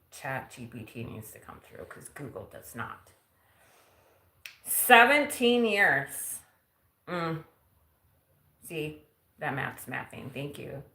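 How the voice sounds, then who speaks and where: Low-voiced chatty woman in her forties. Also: pushed and bright, roomy sound. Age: 40s. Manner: chatty, self-teasing, laughs often. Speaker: vlogger. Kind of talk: casual live-stream chat. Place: home kitchen.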